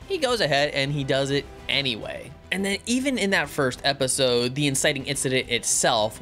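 A man in his thirties talking expressively and conversationally in a casual review, close on the microphone in a home studio. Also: medium pitch. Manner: expressive, conversational, acting out reactions and quotes. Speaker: YouTube reviewer and host. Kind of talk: casual spoken review to camera. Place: small home studio room. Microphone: close microphone on a boom arm.

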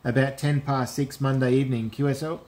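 A man's voice: mid-range voice, steady tone, a little fast, very noisy. A man with an Australian accent making a casual, matey amateur radio contact, using radio call phrases. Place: indoors at a radio set.

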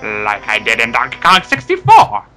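A man's voice: medium pitch, huge pitch swings, normal speed, sharp tone, faint music behind. A man voicing a moody old ape sorcerer in an amateur cartoon parody, rambling theatrically and bossing others around. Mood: grumbling, dramatic. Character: cranky, moody old male ape who dabbles in magic.

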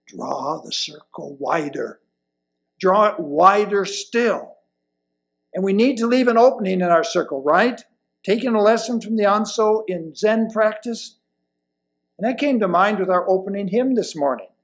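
An elderly man delivers a sermon at a slow, measured pace. His voice sits fairly high for a man and has a marked creak. He phrases deliberately, leaning on key words and pausing between them. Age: older, around 70s or 80s. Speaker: lay presenter reading a sermon. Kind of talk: sermon read from a pulpit. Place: indoors at a wooden lectern in a church hall. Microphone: lapel mic.

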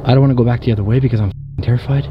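A man's voice: medium pitch, steady tone, very fast, highs cut off. Jittery male vlogger, noisy outdoor recording. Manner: jittery, breathless, heavy swearing, repeats phrases. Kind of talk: whispered and hushed first-person exploration vlog. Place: outdoors at an abandoned campsite. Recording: noisy outdoor handheld ambience.

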